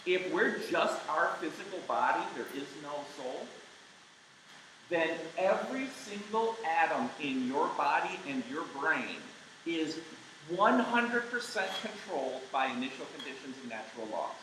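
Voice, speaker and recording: A man speaking at a camp, explaining his points step by step in an emphatic way; he says he has a loud voice. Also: fairly high pitch, sing-song, unhurried, background noise. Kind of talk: live apologetics talk. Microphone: mic taken off partway. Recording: very echoey room.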